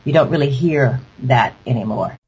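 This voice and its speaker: A woman singer speaks candidly in a relaxed interview, thinking her words over as she goes. The recording has a muffled, lo-fi tone, with the top end rolled off. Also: extremely low pitch, moderate pace, music in the background. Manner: reflective, thoughtful, a few ums and restarts. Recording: dull lo-fi broadcast sound.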